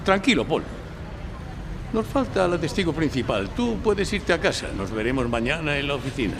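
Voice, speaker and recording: An actor playing a shrewd, courteous defence lawyer in a live audio drama, questioning in a measured, self-assured way. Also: male voice, a little high, big pitch swings. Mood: calm, reassuring. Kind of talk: audio drama acting before a live audience. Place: library hall, recorded before an audience. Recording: echoey live hall with clear background noise.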